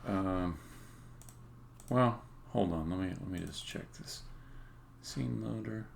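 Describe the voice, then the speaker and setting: A game developer thinks aloud on a coding stream in a heavily gravelly, fry-laden voice. The delivery is halting and muttered, as if to themselves. Keyboard typing and clicks sit over a faint background noise. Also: low-pitched. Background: computer keyboard typing and clicking.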